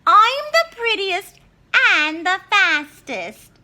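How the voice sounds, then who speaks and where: Eitles Rotkäppchen mit sehr hoher Stimme. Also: female voice, very high-pitched, sing-song, bright, forceful tone, voice pushed up, heavily acted. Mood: boastful. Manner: gushing, singsong, drawn-out. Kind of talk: storybook character voice.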